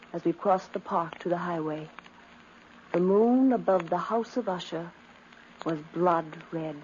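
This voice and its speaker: An actress playing an anxious, devoted young gentlewoman with earnest, melodramatic 1950s radio acting. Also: on the low side, natural voice. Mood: grave. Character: frightened, pleading, devoted young gentlewoman cousin. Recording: muffled, noisy 1950s radio recording.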